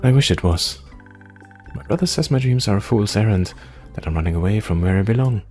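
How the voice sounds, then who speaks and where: A man's voice: fairly low pitch, animated, a little fast, a little mellow, faint music behind, close to an everyday voice. A man voicing a young forest ranger in a fantasy game, dreamy, earnest and yearning for adventure. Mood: wistful, frustrated.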